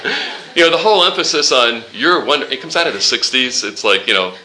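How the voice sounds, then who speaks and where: A man's voice: medium pitch, big pitch swings, fast, heavy background noise. A man leading an informal Bible study class, quoting scripture and joking with the students. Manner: conversational, quotes scripture, jokes with the class.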